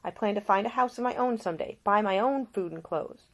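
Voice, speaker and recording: A woman's voice: mid-range voice, fairly quick, pitched above the performer's own voice, close to an everyday voice. A woman voicing an independent, caring woman in love, soothing yet firm, in audiobook character dialogue. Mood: firm, determined.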